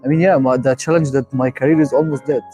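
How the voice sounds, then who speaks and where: A man's voice: mid-range voice, fairly even intonation, fast, music in the background. A man from Egypt who teaches Arabic, speaking plainly in an interview.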